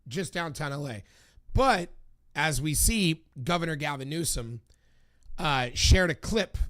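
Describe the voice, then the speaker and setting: A hoarse man hosts a political commentary video. He rants with indignant emphasis and keeps repeating his points. His voice sits a little high for a man. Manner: indignant, repetitive, emphatic ranting. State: hoarse from singing. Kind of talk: casual political commentary vlog.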